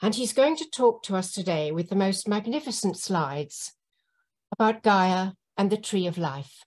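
A woman moderating a talk from a room at home over a video call, introducing the speaker with admiration, sometimes pausing mid-sentence. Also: medium pitch, expressive, a little mellow.

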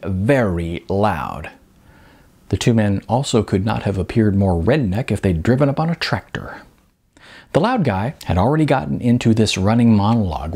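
A middle-aged man tells a story as the author of the novel. His voice is lowish, raspy and creaky, and he narrates expressively with animated emphasis. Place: small furnished room indoors.

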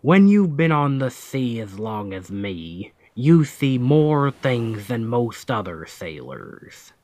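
A man playing a stern, commanding pirate captain with gruff protectiveness in an ASMR roleplay, speaking deliberately and with authority. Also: very animated, mellow. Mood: stern, assured. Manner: slow, deliberate, authoritative, phrases trailing low.

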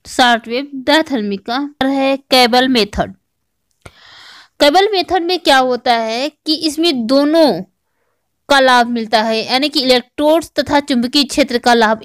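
A woman's voice: high voice, unhurried, dry recording, clean studio sound. A woman with an Indian accent giving an explanatory lecture as a teacher, repeating and rephrasing key points. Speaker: online physical education teacher. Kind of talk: explanatory exam-prep lecture.

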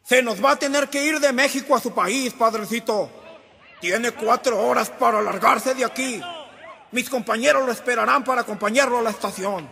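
Radio drama Mexican cop: high-pitched, mocking bully, reverberant hall. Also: male voice, normal speed, gravelly, bright, forceful tone, exaggerated voice. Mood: commanding. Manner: mocking, condescending. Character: smug, menacing Mexican policeman. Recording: reverberant hall with music behind.

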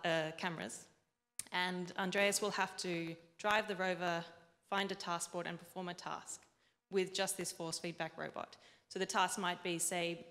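A young woman explains her robotics work to a live audience, speaking clearly into a handheld mic and sometimes correcting herself mid-sentence. Her voice sits fairly low and rings with a long reverb from the big hall around her. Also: a bit bright. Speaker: robotics engineer giving a talk. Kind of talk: conference presentation. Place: large event hall with audience.

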